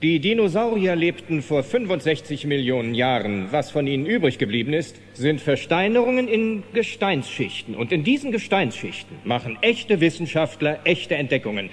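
An actor playing a serious, dry paleontologist giving a formal lecture in a film. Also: male voice, on the high side. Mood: curt, firm.

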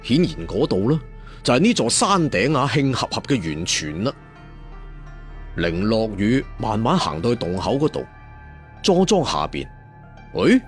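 A man telling a dramatic story in a colloquial, traditional storyteller's manner, putting questions to his listeners. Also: medium pitch. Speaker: audiobook storyteller.

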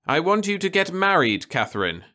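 A man's voice: sits high, big pitch swings, normal speed, sharp tone, pitched above the performer's own voice, full character voice. A man voicing a stern, earnest middle-aged mother who speaks firmly and insistently. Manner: firm, insistent.

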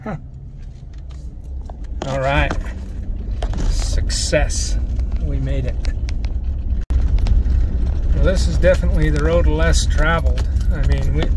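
A man in his forties chatting easily while driving and exploring, chuckling often as he points things out. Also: a little high. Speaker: travel vlogger. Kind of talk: casual road trip commentary. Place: inside a pickup truck, then outdoors on windy open plain. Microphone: camera on dashboard and handheld selfie. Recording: noisy car and wind background.

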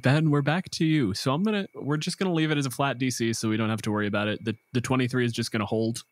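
A man's voice: medium pitch, quick pace, no echo. A man running a tabletop roleplaying game, narrating informally as game master. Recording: music behind. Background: background music.

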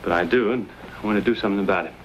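An actor playing a brash, plain-spoken frontier fur trader with a conscience in an old western. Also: male voice, fairly low pitch, very fast, highs cut off, close to an everyday voice. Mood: troubled, earnest. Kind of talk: 1950s western screen acting. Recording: noisy old TV western soundtrack.

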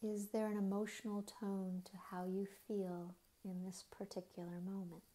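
A woman leading a guided body scan meditation in a gentle, unhurried manner, with soft, breathy phrase endings and long pauses between short phrases. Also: medium pitch, flat delivery.

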